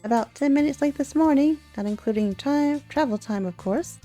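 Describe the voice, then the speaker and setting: A high-pitched, exuberant schoolgirl from a visual novel, put on by a woman. She is loud, brisk and bossy, bursting with energy over faint music. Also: natural voice. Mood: breezy, bossy.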